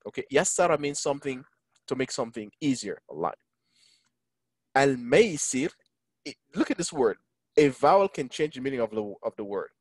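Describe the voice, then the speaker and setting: A man teaching an online Quran lesson, engaging his listeners and reciting Arabic verses quickly. English is not his first language. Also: mid-range voice, very animated, breathy edge, dry recording. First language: neither Arabic, English nor French. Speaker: imam teaching a Quran class. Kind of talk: online Quran lesson over a video call. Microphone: video call.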